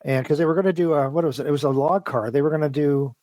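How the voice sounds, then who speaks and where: A man being interviewed, talking casually and at length in a rambling, halting, detailed way as a guest engineer. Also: fairly high pitch, a little mellow. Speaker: interview guest, model train design engineer.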